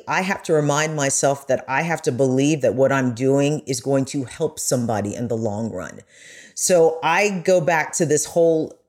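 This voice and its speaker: A woman's voice: low-pitched, a little mellow. A middle-aged woman, a journalist guest, speaking earnestly and emphatically in a conversational interview.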